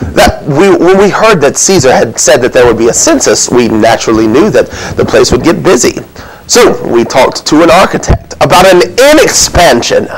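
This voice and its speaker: A man's voice: medium pitch, sing-song, moderate pace, a little hiss or hum, pitched above the performer's own voice, low-quality recording. Man playing a flustered, defensive innkeeper. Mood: sheepish, explaining. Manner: excuse-making, rambling, indignant storytelling. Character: flustered, defensive comic innkeeper of biblical Bethlehem. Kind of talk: comic stage monologue.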